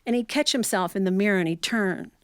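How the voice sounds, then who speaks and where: A middle-aged woman telling humorous personal stories to an audience in a folksy, animated way, acting out bits of dialogue. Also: mid-range voice, fast, smooth, no echo, clean studio sound. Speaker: storyteller.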